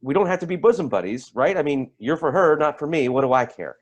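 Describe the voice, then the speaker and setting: A man's voice: a little high, expressive, fast talker. An actor in a staged play reading, playing a tactless, defensive man who stammers and repeats himself. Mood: awkward, earnest. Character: tactless, defensive man, rambling and awkward, named Timothy.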